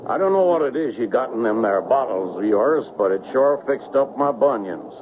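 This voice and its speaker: An actor playing a folksy small-town police officer in a 1970s radio drama, with homely, ungrammatical talk. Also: male voice, mid-range voice, expressive, normal speed, phone-quality sound, natural voice. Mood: eager, friendly. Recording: noisy, phone-thin old radio broadcast.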